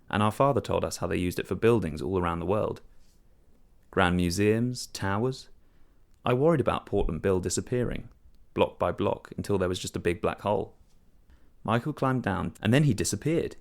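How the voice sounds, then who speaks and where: A man's voice: sits low, gravelly. A man reading a first-person literary short story as scripted narration.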